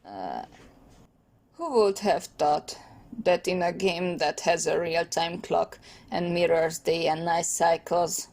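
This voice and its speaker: Casting: a woman as a nerdy teen male genius for a comic dub, verbose and dry, unhurried delivery. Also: lowish voice, darkish tone, pitched below the performer's own voice. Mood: wry, rambling. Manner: pedantic, wordy, sarcastic. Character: trapped male teenage tech genius, wordy, wry, anxious. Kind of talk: comic dub character acting.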